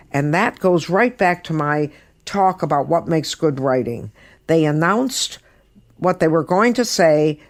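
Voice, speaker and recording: A woman's voice: low voice, no room sound. A woman presents a podcast as its host, giving review commentary. She is emphatic and repeats words to stress them. The sound is dry, with little room around the voice.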